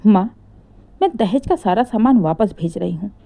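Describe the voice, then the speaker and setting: A woman voicing a polite but fed-up young daughter-in-law with a calm, resolved manner in a family story. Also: medium pitch, moderate pace, gravelly.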